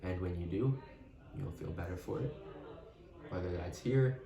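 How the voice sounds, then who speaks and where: A man of twenty-one from West Texas answering interview questions slowly and thoughtfully. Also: deep voice, vocal fry. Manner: slow and thoughtful, pauses with hmm. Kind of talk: casual reflective interview answers. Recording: roomy, distant mic, crowd noise behind.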